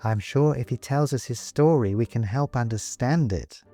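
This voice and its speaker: A man voicing an eager, scheming woman who speaks slowly and coaxingly. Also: medium pitch, mellow, faint music behind, pitched above the performer's own voice, close to an everyday voice. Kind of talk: audiobook character reading.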